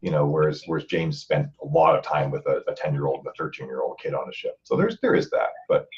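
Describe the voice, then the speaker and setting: A man's voice: a bass voice, sing-song, fast, darkish tone, lo-fi sound. A man hosting a casual group podcast, rambling along talkatively. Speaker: podcast host and author.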